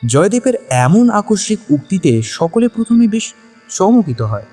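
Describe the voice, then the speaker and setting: A man's voice: high voice, big pitch swings, measured pace, dark tone, slightly rough. A man narrating a scripted story in a dramatic style, as in an audio drama. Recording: long hall reverb on voice. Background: music.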